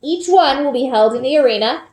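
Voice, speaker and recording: A woman voicing a grave, senior male village official who makes announcements slowly and solemnly. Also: sits high, animated, a little mellow, reverberant, pitched above the performer's own voice. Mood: grave, formal. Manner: slow, solemn, deliberate.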